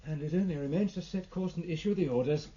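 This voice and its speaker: A man's voice: on the high side, fast talker, warm tone, dull audio, close to an everyday voice. A man playing an uneasy, formal British acting captain in a radio drama, clipped and deliberating in manner. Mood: brisk, formal.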